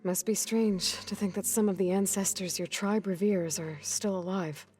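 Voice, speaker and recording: An actress playing a practical, quietly determined young woman warrior in a video game, speaking briefly and plainly. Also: medium pitch, steady tone, moderate pace, husky, faint music behind, natural voice. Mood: steady, reflective. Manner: plain-spoken, brief, probing. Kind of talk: video game cutscene dialogue.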